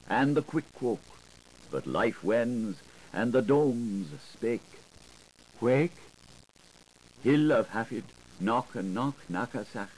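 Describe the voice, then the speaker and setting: Slow, expressive low-voiced man reading literature. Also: poor audio. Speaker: audiobook narrator. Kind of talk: literary audiobook reading, theatrical. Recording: 1980s audiobook recording with faint noise.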